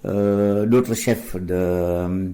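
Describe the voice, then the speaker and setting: Older man, low mellow voice. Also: steady tone, very slow. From India. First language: Gujarati. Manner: hesitant, many fillers and restarts. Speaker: guest, deacon. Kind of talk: radio interview.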